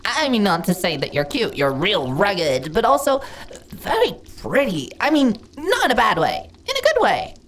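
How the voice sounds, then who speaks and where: A woman's voice: mid-range voice, wildly swooping pitch, normal speed. A woman voicing a lonely, hungry slime monster girl in a fantasy audio roleplay, theatrical and prone to giggling and stammering. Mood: giddy, gushing.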